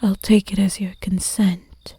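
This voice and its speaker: A woman voicing a seductive, predatory female vampire who teases her prey in slow, close, breathy whispers in an ASMR roleplay. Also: medium pitch, flat delivery, mellow, no room sound. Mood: seductive teasing.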